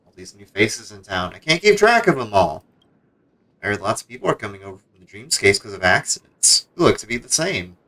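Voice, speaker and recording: A man's voice: lowish voice, sing-song, brisk, bright, forceful tone, heavily acted, poor audio. A man reading out the game lines of a chatty, aging female cello who keeps a music shop. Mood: chatty, welcoming. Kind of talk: reading game dialogue aloud.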